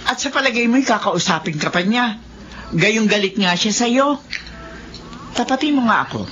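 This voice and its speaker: Stern animated older mother. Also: fairly high pitch, normal speed. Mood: worried, stern. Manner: probing, blunt. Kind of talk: Filipino radio drama acting. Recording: dull lo-fi radio, faint noise.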